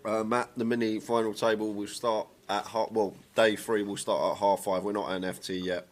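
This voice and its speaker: Low-pitched male lead commentator, relaxed and conversational. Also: normal speed. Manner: relaxed, conversational, greets chat viewers. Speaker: poker commentator. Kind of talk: casual live-stream commentary.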